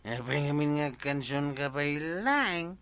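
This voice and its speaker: A man's voice: a little high, animated, unhurried, fairly bright tone, smooth. A man playing a jolly village drinking buddy in an Ilocano comedy drama, with an Ilocano accent. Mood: teasing.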